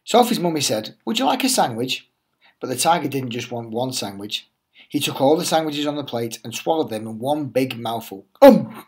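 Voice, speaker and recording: A middle-aged man, a headteacher, reading a picture book aloud to young children in an expressive, reassuring way. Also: medium pitch, normal speed.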